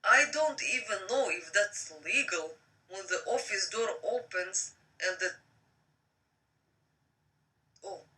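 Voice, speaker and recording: A woman reading a story aloud as host of a live stream, in a theatrical, drawn-out, expressive way. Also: mid-range voice. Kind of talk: live dramatic audiobook-style reading with casual asides. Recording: distant microphone on live stream.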